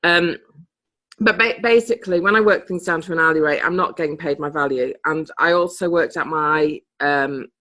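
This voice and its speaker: A woman's voice: deep voice, normal speed, a bit bright. Woman, chatty, laughing often, video call sound. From Britain. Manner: chatty, laughs often, loses her thread. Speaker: participant in a coaching group call. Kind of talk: casual group video call.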